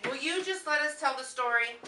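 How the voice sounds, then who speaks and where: Female amateur skit performer, live family show, far from the mic with clear background noise. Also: sits high, a little fast. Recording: distant, echoey, noisy home video of live show.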